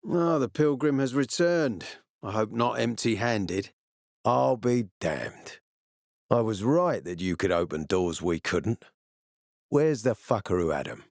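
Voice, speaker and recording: A male actor playing a stern, ambitious military commander in a video game, speaking in a measured, authoritative and formal way. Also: mid-range voice, vocal fry, no echo, studio quality. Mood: pressing. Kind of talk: video game dialogue acting.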